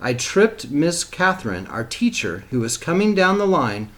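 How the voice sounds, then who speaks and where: A man reading a picture book aloud to young children like a teacher, in an expressive style. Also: on the high side, moderate pace. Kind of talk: expressive storybook read-aloud.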